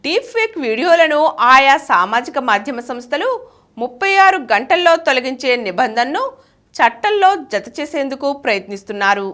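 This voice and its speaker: A woman reading a current-affairs article as scripted narration, in a formal, clearly phrased way. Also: sits high, a bit bright.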